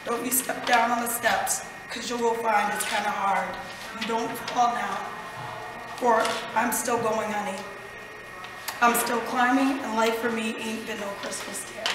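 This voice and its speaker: High-voiced woman reciting poetry in echoey hall. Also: fairly even intonation, measured pace. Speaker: letter carrier reading a poem. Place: large echoey indoor event room at post office. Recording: very echoey hall, distant, noisy.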